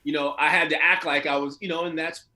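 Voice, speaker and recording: A man who works as an actor, talking casually and colloquially as an interview guest, telling stories in an animated way. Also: a little high, fast. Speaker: voice and motion capture actor being interviewed.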